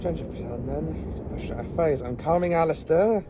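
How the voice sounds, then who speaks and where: A man playing an analytical, protective professor in an audio drama, measured and musing aloud. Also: fairly high pitch, music in the background, close to an everyday voice. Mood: urgent. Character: calm, analytical male professor and protector battling the supernatural.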